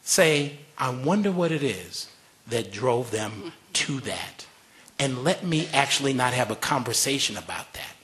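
A man on a discussion panel speaks in an earnest, urging way. His voice sits at an ordinary middle pitch. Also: animated, a little slow, fairly bright tone.

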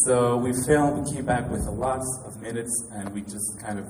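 A pianist and composer from Quebec with a Québécois French accent, introducing his show in a halting, casual way with restarts. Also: mid-range voice, fairly even intonation. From Quebec, Canada. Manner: halting, with restarts and light laughs. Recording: echoey hall from far off, noisy.

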